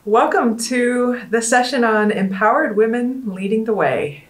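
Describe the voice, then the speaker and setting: A woman hosting a conference panel in a casual, earnest, conversational way, pausing often with ums. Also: a little high, reverberant. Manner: earnest, conversational, with frequent ums and trailing pauses. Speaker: panel host.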